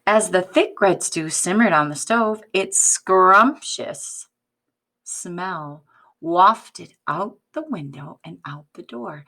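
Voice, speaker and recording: A woman reading a picture book aloud to children in a deliberate, expressive teacher's manner, prompting her listeners. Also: lowish voice.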